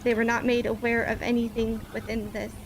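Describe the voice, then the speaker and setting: A woman's voice: on the high side, little pitch movement, fairly quick, gravelly, background noise. A woman acting as a witness in a roleplay court, speaking formally and precisely.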